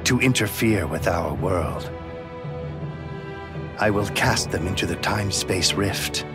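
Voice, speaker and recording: Deep stern otherworldly guardian in a game, aloof and grave, music blaring under it. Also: male voice, animated. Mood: threatening. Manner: grave, imperious, deliberate. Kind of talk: scripted video game acting. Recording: loud background music.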